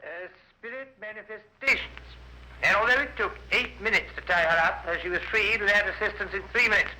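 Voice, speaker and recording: A man's voice: high voice, brisk, bright, forceful tone. An actor playing a cocky, provocative male journalist giving dry, combative evidence in a 1950s radio courtroom drama. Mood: assertive. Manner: dry, combative, deferential titles, hesitant fillers. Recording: noisy vintage 1950s radio recording.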